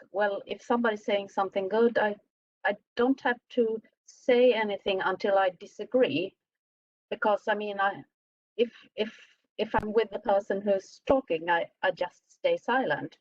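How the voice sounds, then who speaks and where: A middle-aged Swedish woman speaking English as a second language on a panel, pausing often to search for words. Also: mid-range voice, no echo. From Sweden. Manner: halting, searching for words with many "uh"s. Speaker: panelist, company CEO. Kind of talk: panel discussion. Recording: dull, dry lo-fi sound.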